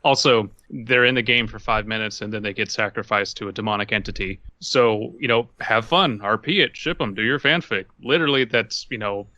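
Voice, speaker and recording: A man's voice: fairly low pitch, brisk, few pauses, sharp tone, no room sound, dull audio. A man co-hosting a podcast, ranting casually with comic, profane bluntness. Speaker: podcast co-host. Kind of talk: casual comedic chat.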